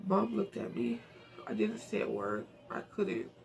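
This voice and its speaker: Male amateur reader, high and creaky, soft and emotional storytelling with casual asides. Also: big pitch swings, a little slow. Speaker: amateur book reader and channel host. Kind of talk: reading a novel aloud, with informal asides.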